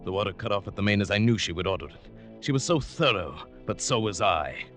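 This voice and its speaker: A low-pitched, middle-aged British man in a vintage 1940s radio thriller, music swelling behind him. He is a coldly methodical husband, precise and smugly murderous, speaking with theatrical relish. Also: expressive, quick pace, a bit bright. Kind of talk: 1940s radio drama acting and narration.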